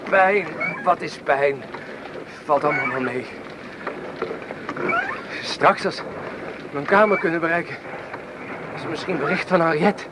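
An actor playing a wounded, exhausted young Communard in a Dutch radio play, halting and theatrical with feverish declamation. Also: male voice, fairly high pitch, close to an everyday voice. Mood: pained, feverish. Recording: noisy old radio play recording.

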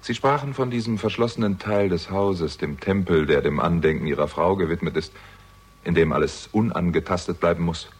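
A sharp-witted young sleuth in a radio thriller. His voice is low and husky, and he probes with dry irony and cool sarcasm. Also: fairly quick. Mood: accusing, controlled. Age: young adult. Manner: dry, ironic, probing. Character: sardonic younger man, shrewd amateur investigator. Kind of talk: German radio crime drama acting.

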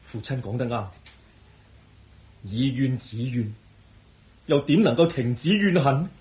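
Young vengeful prince, radio play, very dramatic n emotional, echoey, voice goes up and down a lot. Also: male voice, mid-range voice, animated, slow, darkish tone. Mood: sombre, relenting. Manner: declamatory, emotional, theatrical. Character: young exiled crown prince bent on avenging his father, honourable, anguished. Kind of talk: Cantonese radio drama acting.

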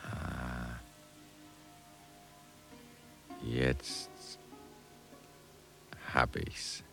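An actor playing an eager, questioning human moon traveller in a German radio drama, theatrical and given to hesitant musing. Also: male voice, very deep voice, creaky, darkish tone, heavily acted. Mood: musing, baffled.

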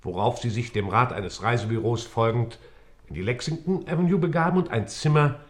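An actor playing a dry, impatient judge in a radio play, speaking in a clipped, official, matter-of-fact way. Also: male voice, medium pitch, brisk, lightly acted. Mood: formal, factual. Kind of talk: German radio play acting.

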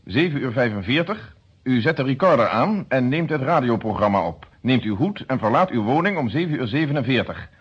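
A stern male police inspector in a radio crime play. He speaks formally and insistently, laying out each point with precision in a slightly husky, mid-pitched voice on a dull old recording. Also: sing-song, brisk. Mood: confident, accusing. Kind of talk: radio drama acting.

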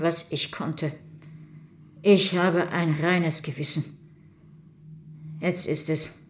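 A woman's voice: fairly low pitch, a little hiss or hum. A woman playing a hardened older mother in a radio crime drama, speaking with cold, reproachful severity.

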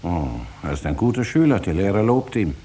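Deep-voiced grasping farmer. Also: male voice, lively intonation, brisk, mellow, breathy edge. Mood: evasive. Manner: plain-spoken, hesitant, defensive. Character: stubborn, money-hungry farmer, uneasy and evasive. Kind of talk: 1950s German radio play acting. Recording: noisy vintage 1950s radio recording.

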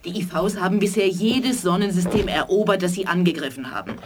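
A lowish female voice, an actress playing a haughty alien commander in an eighties radio play. She is cold, proud and curt, with an imperious, disdainful edge. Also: fairly quick, noisy background, natural voice. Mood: cold, resolute. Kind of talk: 1980s German radio drama acting.

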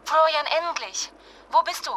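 A woman's voice: sits high, a little slow, sharp tone, soft background music, rough recording. A German actress playing an anxious wife in a radio drama, pleading urgently for her missing husband. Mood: startled, confused. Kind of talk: naturalistic radio drama acting.

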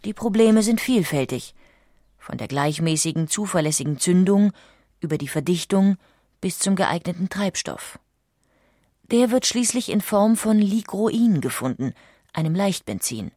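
A female narrator with a low voice delivers scripted documentary text. She is measured and articulate, in a very dry studio sound. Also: expressive, mellow, no room sound.

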